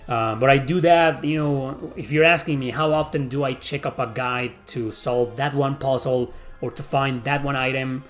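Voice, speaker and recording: A middle-aged man with a Mexican accent chats through viewer questions at a mid pitch. He talks bluntly, swears freely and rambles with lots of fillers. Faint music plays underneath. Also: steady tone, normal speed, few pauses. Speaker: YouTube host answering viewer questions. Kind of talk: casual Q&A chat.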